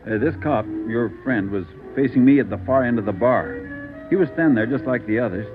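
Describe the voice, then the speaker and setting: Male actor as colloquial bartender witness, awed storyteller, mid register, thin vintage radio recording, soft music underneath. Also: medium pitch, expressive, brisk, lightly acted. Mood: gripped, recounting. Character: plain-spoken bartender, awed eyewitness recounting a robbery. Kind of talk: 1940s radio crime drama narration. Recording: tinny 1940s radio recording, music behind.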